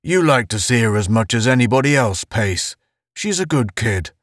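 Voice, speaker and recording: A man's voice: medium pitch, lively intonation, normal speed, no echo, studio quality, natural voice. A man voicing an earnest, insistent, well-meaning space officer giving encouragement in an audiobook. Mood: reassuring. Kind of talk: audiobook character voice.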